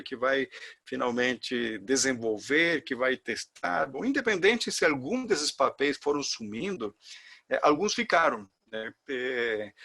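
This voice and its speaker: Chilean guy teaching a class, spanish accent, gravelly, lots of "é" fillers. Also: mid-range voice, pushed and bright. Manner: hesitant, many fillers and restarts. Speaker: course instructor. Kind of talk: lecture to a class.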